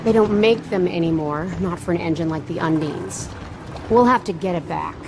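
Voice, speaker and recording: An actress playing a brash, sardonic gang boss in a video game, taunting and menacing. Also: female voice, on the low side, moderate pace, lightly acted. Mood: bitter, businesslike. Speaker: voice actor. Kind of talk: video game cutscene acting. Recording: clearly noisy, engine-like background.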